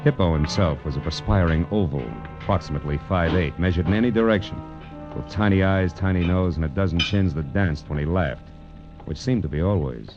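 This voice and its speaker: An actor playing a wry, world-weary private detective in 1940s radio noir, with clipped, sardonic delivery. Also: male voice, deep voice, fairly quick, natural voice. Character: hard-boiled male private detective, wry and cool. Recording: dull vintage radio recording with music.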